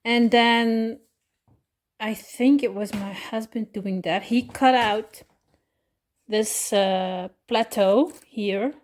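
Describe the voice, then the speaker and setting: Slow, hesitant woman with a Dutch accent. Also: on the high side, steady tone. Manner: hesitant, many pauses and fillers, self-correcting. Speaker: hobby crafter showing a miniature build. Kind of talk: casual show-and-tell explanation.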